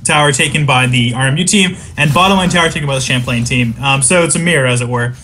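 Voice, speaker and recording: A young man doing live esports commentary with a husky voice, joking and reading out chat, with lots of ums between thoughts. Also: mid-range voice, fast talker, pushed and bright, slight background noise. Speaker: esports caster.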